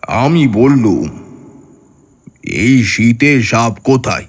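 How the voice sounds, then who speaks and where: An actor playing a grave, fearless tantric guru who tells his own horror tale in a measured, commanding way. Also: mid-range voice, lively intonation, husky, long reverb tail, heavily acted. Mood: calm, assured. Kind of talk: horror audio story narration.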